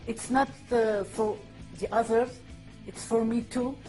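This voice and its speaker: A woman's voice: on the high side, fairly even intonation. A middle-aged woman from France with a French accent, giving a hesitant testimonial to camera. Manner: hesitant, pausing with fillers. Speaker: customer testimonial. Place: indoors, high-rise room with window view. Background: soft music.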